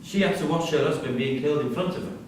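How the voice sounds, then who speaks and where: A man's voice: on the high side, fast, close to an everyday voice. A man playing a blunt, colloquial prisoner, a cocky yet brooding killer, in a staged drama reading. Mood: brooding, subdued. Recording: very echoey hall, distant mic, hiss.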